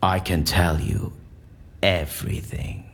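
An actor playing a cunning captive man in an audio drama, speaking in a deliberate, mysterious, theatrical manner. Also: low voice, steady tone. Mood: bargaining, assured.

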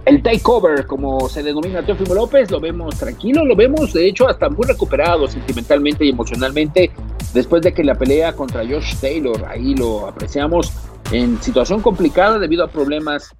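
Energetic sports radio commentator. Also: male voice, fairly high pitch, brisk, faint music behind. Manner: energetic recap.

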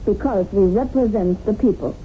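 An actress plays a humorless female revolutionary in 1970s radio drama. The voice is mid-pitched, stern and clipped, delivering dogma flatly. The recording is narrow and slightly noisy, like an aged broadcast. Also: normal speed, natural voice. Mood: dogmatic. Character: stern, doctrinaire female revolutionary guarding a captive.

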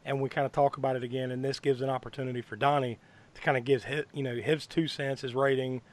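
Hoarse, rambling male podcast host. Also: medium pitch, little pitch movement, quick pace. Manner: rambling, many fillers and restarts. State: hoarse from bronchitis. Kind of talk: casual podcast chat.

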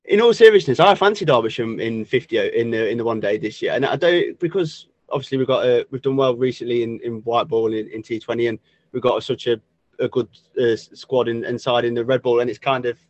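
A man's voice: mid-range voice, brisk, no echo. A man hosting a casual podcast, joking, laughing a lot and asking rambling questions. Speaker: podcast host. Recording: dull, lo-fi, dry sound.

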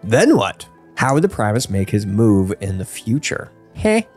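A man, probably in his thirties, hosting a casual podcast chat in a jokey, playful, animated manner. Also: on the low side, unhurried, soft background music. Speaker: podcast host. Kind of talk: casual podcast chat about game lore.

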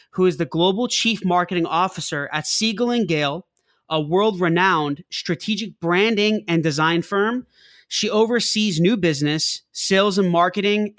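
A man with a notably high voice hosts a business interview show. His questions come out halting, with many restarts and filler words. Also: a bit bright. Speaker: podcast host. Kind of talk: podcast interview.

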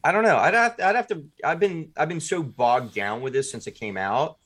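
A man's voice: a little high, expressive, normal speed, a bit bright. A man speaking as a podcast guest in a casual, jovial interview, often thinking aloud as he talks about his creative work. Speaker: podcast guest, audio drama writer and producer.

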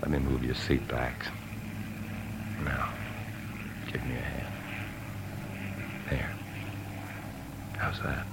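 A man's voice: extremely low pitch, level tone, takes it slowly, gravelly, long pauses, heavy background noise, exaggerated voice. An American actor playing an edgy husband in a 1950s radio drama, tense and insistent, confiding when he narrates. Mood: weary, apologetic. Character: desperate, edgy husband and bank man narrating his flight. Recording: old 1950s radio broadcast, music behind.

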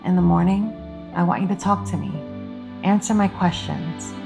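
Actress as manipulative, seductive woman lover for audio drama. Coaxing delivery, slightly low pitch. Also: moderate pace, vocal fry, over music.

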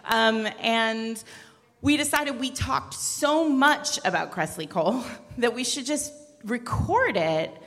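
A woman's voice: on the high side, lively intonation, slow pace, a bit bright. A woman co-hosting a podcast, chatting casually and jokily at a live panel. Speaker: podcast co-host. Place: large indoor event room. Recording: echoey hall, live room microphone.

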